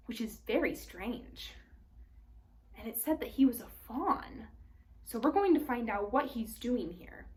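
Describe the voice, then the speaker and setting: A woman reading a storybook aloud for children, expressively, pausing to explain it in simple phrases like a teacher. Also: fairly high pitch.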